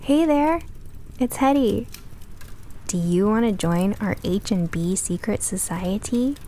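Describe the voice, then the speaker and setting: A woman's voice: medium pitch, expressive, unhurried, mellow, close to an everyday voice. A woman playing a friendly, chirpy girl heroine in an in-character radio drama promo, with noise behind her. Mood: friendly, inviting.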